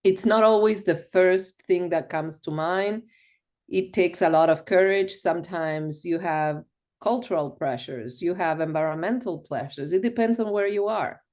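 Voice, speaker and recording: A woman's voice: on the low side, unhurried, darkish tone. A middle-aged woman from Argentina, a podcast guest recounting personal stories over a remote call. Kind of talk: storytelling interview.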